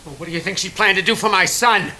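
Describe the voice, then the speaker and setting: An actor playing a desperate, protective father in a radio drama, speaking urgently and forcefully. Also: sits high, quick pace, rough recording.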